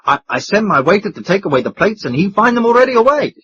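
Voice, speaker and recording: A man's voice: high-pitched, sing-song, quick pace, a bit bright, highs cut off, slight background noise, pitched above the performer's own voice. A man voicing a flustered middle-aged hotel proprietor who stammers and talks breathlessly in a panic. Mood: agitated.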